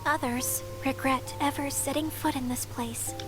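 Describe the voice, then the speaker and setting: A woman voicing a gentle, wistful water fairy in a video game, reflective and soft-hearted as she tells her story. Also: high-pitched, fairly even intonation, measured pace. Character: gentle, wistful female water fairy, lonely but content. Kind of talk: scripted video game voice acting. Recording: game music behind the voice.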